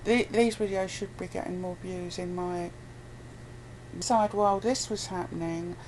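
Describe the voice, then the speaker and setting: A woman's voice: lowish voice, steady tone, unhurried, a little mellow. A British woman chatting casually and bluntly as an interviewee, with some swearing. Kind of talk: casual conversation. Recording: noisy amateur recording with background noise.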